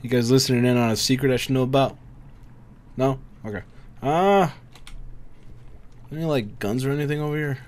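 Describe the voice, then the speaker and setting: A man's voice: medium pitch, normal speed, long pauses. Profane man reacting through casual gameplay commentary. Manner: profane, reacting aloud, thinking out loud. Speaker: gamer recording a playthrough.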